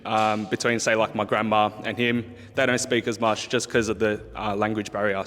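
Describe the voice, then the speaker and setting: A man's voice: mid-range voice, fairly bright tone. A man, a panel guest, speaking casually in a conversational discussion and often saying "definitely". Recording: long reverb.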